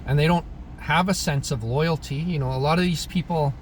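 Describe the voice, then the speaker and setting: A man in his thirties, originally from Croatia, talking through his opinions in a casual monologue, pausing often and clearing his throat. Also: on the high side, a little hiss or hum. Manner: halting, frequent throat clearing and restarts. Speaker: vlogger. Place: in Alberta, Canada.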